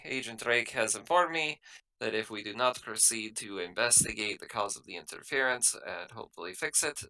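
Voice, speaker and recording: A man playing a tabletop roleplaying game, explaining things in a halting, matter-of-fact way as he improvises in character. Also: lowish voice, bright, forceful tone, low-quality recording.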